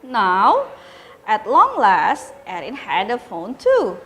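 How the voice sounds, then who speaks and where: A woman with an Indonesian accent reading a children's story aloud with deliberate, dramatic emphasis, as host of a school program. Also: a little high, huge pitch swings, fairly bright tone, low-quality recording.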